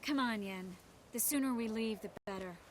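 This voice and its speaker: An actress playing a warm, practical and willing sorceress in a fantasy video game. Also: female voice, fairly high pitch, normal speed, slight background noise, lightly acted. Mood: brisk.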